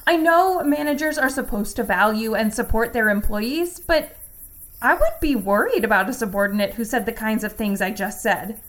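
A woman's voice: fairly high pitch, lively intonation, normal speed, fairly bright tone. A woman playing an earnest, anxious and loyal company employee, talking herself through doubts in an audio drama monologue. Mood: anxious, doubting. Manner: earnest, self-doubting, reciting corporate slogans.

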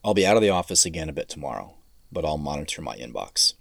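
A man's voice: rumbling low, big pitch swings, quick pace, vocal fry, no room sound, full character voice. A man playing an earnest, weary man searching for answers in an audio drama. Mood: tired, subdued. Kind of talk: audio drama narration and acting.

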